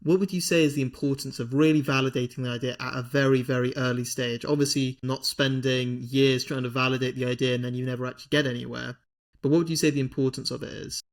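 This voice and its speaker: A man hosting a podcast, asking long, measured interview questions. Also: mid-range voice, steady tone. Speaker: podcast host.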